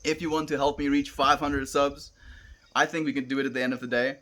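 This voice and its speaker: Gentle South African man streaming outdoors. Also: medium pitch, little pitch movement, fairly quick. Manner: gentle, earnest, calls viewers friend. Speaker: livestreamer. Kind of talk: casual live stream chat reading comments. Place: outdoors, wind and cows nearby.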